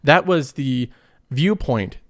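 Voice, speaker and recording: A man's voice: mid-range voice, animated, slow, fairly bright tone, no room sound, clean studio sound. A thirty-year-old man getting over a cold, explaining personal finance in an earnest, conversational monologue. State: recovering from a cold.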